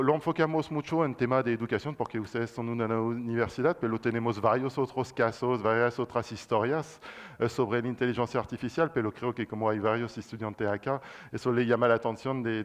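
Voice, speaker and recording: Halting man presenting. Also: mid-range voice, fairly even intonation. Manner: halting, many restarts, slips into French and English words. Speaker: guest speaker, cloud solutions architect. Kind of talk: university presentation and Q&A.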